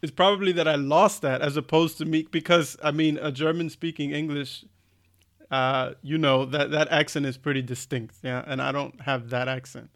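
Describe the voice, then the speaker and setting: A man's voice: fairly high pitch, steady tone, normal speed, a bit bright. A man from the United States co-hosting a podcast in casual chat, talking thoughtfully with frequent ums and swearing when annoyed. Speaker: podcast co-host.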